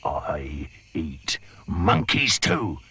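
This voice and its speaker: A man voicing a greedy, scheming male crocodile in a storybook reading, slow and gloating, sometimes dropping to a whisper. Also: a bass voice, big pitch swings, pushed and bright, husky, pitched below the performer's own voice, heavily acted. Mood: sly gloating. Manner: gloating, sinister.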